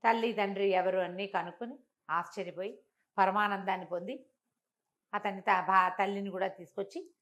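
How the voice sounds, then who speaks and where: An older woman telling a traditional moral story in a conversational interview, acting out the characters' speech with lively jumps in voice. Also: normal speed. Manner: animated, acts out quoted speech with big pitch jumps.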